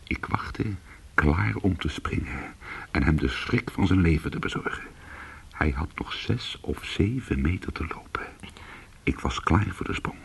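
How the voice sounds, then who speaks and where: An actor playing a weary, hard-boiled former cat burglar, telling his story in an intimate, brooding and deliberate way in a radio play. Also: sits low, animated, creaky, slightly rough, whispered, exaggerated voice. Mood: hushed, suspenseful.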